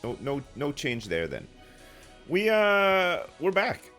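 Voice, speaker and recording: A man's voice: fairly high pitch, wildly swooping pitch, slow pace, a bit bright, soft background music. A man in his thirties streaming a game live, phlegmy and rambling as he answers chat. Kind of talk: casual live gameplay chat.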